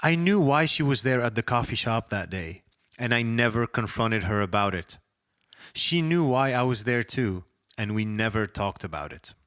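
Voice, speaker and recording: Man, author from Lebanon, scripted story narration, medium pitch, dry echo-free recording. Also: lively intonation, normal speed, fairly bright tone. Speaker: author reading own short story. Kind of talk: scripted first-person literary narration.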